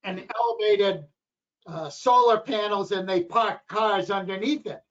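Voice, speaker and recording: Hoarse high-voiced man at a meeting. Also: a little slow. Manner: rambling, repeats himself. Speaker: planning board member. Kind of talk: meeting discussion. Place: town meeting room. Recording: dull lo-fi local TV audio.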